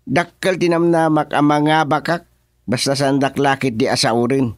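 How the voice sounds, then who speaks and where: An actor playing an eager, talkative political underling in a radio comedy-drama, emphatic and exclamatory, with an Ilocano accent. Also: male voice, mid-range voice, little pitch movement, normal speed, no echo, clean studio sound, close to an everyday voice. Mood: insistent.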